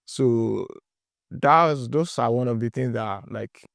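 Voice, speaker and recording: A young Nigerian man speaks as a student interviewee, his voice smooth and clear. He wanders through his answers with pauses and fillers, and the sound is dry and close. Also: mid-range voice, fairly bright tone, dry recording. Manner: hesitant, rambling, many fillers.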